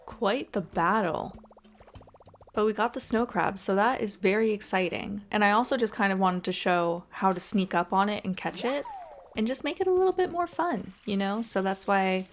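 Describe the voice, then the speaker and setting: A woman's voice: medium pitch, normal speed, slight background noise. A woman giving casual, chatty gameplay commentary in an informal way. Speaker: gaming video host.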